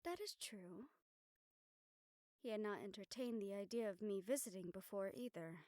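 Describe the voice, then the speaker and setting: A woman playing an affectionate, scheming young noblewoman in an intimate audio roleplay, speaking formally and measuredly with thoughtful pauses. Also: medium pitch, darkish tone, no room sound, studio quality, natural voice. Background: background music.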